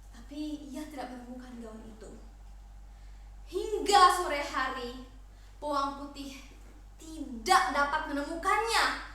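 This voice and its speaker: Teen female storyteller, high bright voice, theatrical folktale narration for a contest, very reverberant room. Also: slight background noise. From Indonesia. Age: teens. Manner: theatrical, expressive. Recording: very echoey room.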